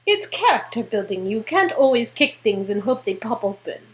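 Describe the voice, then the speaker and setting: A woman's voice: a little high, big pitch swings, moderate pace. A woman voicing a guarded, catty young woman in a story game, with a wry, teasing manner. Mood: dryly amused.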